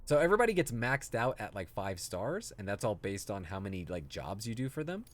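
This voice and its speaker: Animated man chatting on a game stream. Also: lowish voice, brisk. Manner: easygoing, chuckles often while talking. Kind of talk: casual livestream chat, reading viewer comments aloud. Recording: music playing behind the voice.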